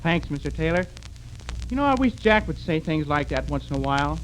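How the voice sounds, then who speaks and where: A man's voice: on the high side, big pitch swings, brisk, a little hiss or hum, poor audio. A man, the comic sidekick on a 1930s radio comedy, in a very old broadcast recording. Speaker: show singer and comic sidekick.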